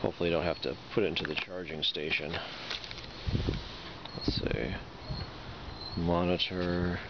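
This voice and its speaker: Very deep-voiced man, noisy lo-fi recording. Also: little pitch movement, measured pace. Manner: hesitant, thinking aloud as he goes. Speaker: product reviewer. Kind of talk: casual unboxing and demonstration talk. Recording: dull lo-fi sound with loud noise.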